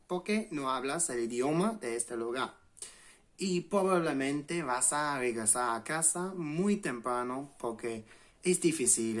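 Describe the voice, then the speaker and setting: Man, late 20s, English accent, native English speaker, very slow delivery, medium pitch, pauses and restarts. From England. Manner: unhurried, pausing and restarting with fillers. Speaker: language channel host. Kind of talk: relaxed spoken lesson to camera.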